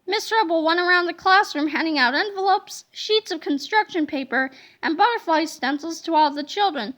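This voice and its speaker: A woman with a very high, bright voice reads a children's book aloud. Her delivery is expressive and dramatic, like animated storytelling for young listeners. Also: moderate pace. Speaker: storybook reader.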